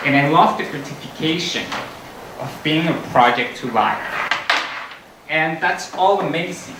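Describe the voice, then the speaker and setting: A man's voice: a little high, background noise. A middle-aged man from Costa Rica giving an earnest conference talk, with frequent fillers. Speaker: conference speaker. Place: large conference hall. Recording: echoey hall from far off, music.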